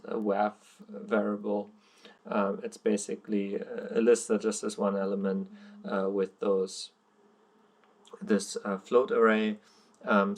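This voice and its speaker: A man's voice: fairly low pitch, a little mellow. A young man whose first language is German, talking through code in a casual tutorial with frequent ums and uhs. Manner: hesitant, many ums and uhs, plain explaining. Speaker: coding tutorial presenter. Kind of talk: screen-recorded coding walkthrough.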